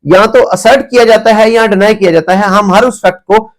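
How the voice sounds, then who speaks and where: A man with a Pakistani accent and a notably high voice delivers an explanatory lecture. He works through his points methodically, restating them in other words. English legal terms are mixed into his speech throughout. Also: fairly even intonation, moderate pace. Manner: methodical, repeats and rephrases points. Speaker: law lecturer.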